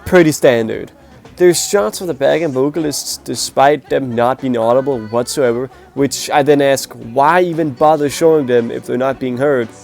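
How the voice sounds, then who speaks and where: Nasal, gravelly young man reviewing. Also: medium pitch, moderate pace, a little mellow, soft background music. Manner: blunt, opinionated, grades with scores. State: sick, nasal voice. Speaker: music performance reviewer. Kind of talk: casual spoken video review.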